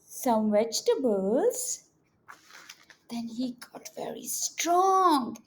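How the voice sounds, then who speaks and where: A woman reading a story aloud to young children in an animated, teacherly way, often prompting them with questions. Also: a little high, extremely slow.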